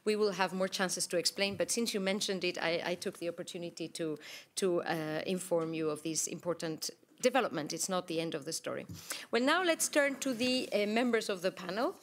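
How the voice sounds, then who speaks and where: A woman's voice: fairly low pitch, brisk, a little hiss or hum. A woman chairing a conference panel and handing the floor to speakers, talking informally with restarts and fillers. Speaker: panel moderator.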